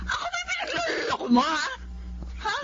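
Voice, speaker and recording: A woman's voice: very high voice, wildly swooping pitch, brisk, shouting, heavily acted. A woman acting a nagging, long-suffering older mother of grown children in a Filipino audio drama. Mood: angry, exasperated. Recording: dull lo-fi audio, faint noise.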